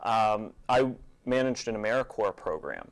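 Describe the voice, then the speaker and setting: A man with a somewhat low voice speaks as an interview guest. He lays out his work as an executive director conversationally, in a measured and matter-of-fact manner. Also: expressive.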